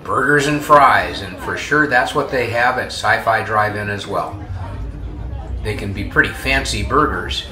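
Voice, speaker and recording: A man in his seventies co-hosting a restaurant tour vlog, talking in an easygoing, chatty way full of filler sounds. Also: medium pitch. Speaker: vlog co-host. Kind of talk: casual restaurant tour narration. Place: themed dine-in restaurant, indoors. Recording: roomy with ambient restaurant noise.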